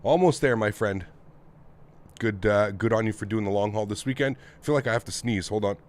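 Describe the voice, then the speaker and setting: A man's voice: fairly low pitch, brisk. A man from North America, sounding nasal and congested, hosting a casual live stream and greeting viewers one by one in an upbeat, friendly way. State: congested throat from the weather. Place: home flight sim setup.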